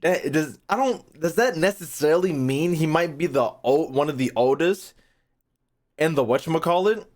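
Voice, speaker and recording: A man's voice: on the high side, lively intonation, moderate pace, a little mellow. A man giving casual, slangy reaction commentary as a streamer, emphatic, swearing and repeating his phrases. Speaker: streamer reacting to game voice lines.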